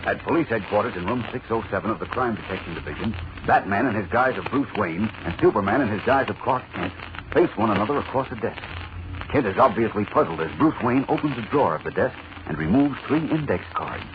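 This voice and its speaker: A man's voice: fairly low pitch, lively intonation, fairly quick. A man narrating a 1940s radio serial in a dramatic, scripted style. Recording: noisy, muffled 1940s radio recording.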